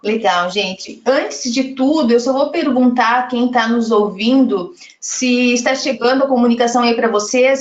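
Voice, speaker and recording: A woman from Brazil moderating a live online panel, chatting and reading audience comments aloud over a video call. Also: on the high side. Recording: echoey, muffled web call audio.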